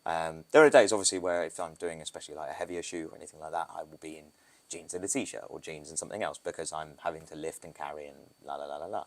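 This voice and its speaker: British man, very low voice, casual rambling podcast guest, photographer. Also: steady tone, fast. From Britain. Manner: loose, rambling, with restarts and asides. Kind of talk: casual interview talk.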